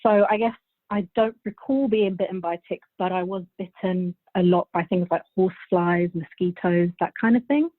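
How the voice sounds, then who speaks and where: A woman's voice: fairly low pitch, normal speed, no echo. Young woman on a dull, dry connection. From Bulgaria, moved to England as a child. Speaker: podcast guest. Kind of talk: casual interview chat.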